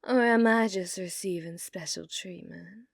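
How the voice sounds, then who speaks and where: A woman playing a flirtatious, teasing, dominant female bounty hunter in a western ASMR roleplay, coaxing with drawn-out endearments. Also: fairly low pitch, no room sound, clean studio sound. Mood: soft, teasing. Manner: coaxing, drawling endearments, drops into breathy whispers. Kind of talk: ASMR roleplay, scripted character acting.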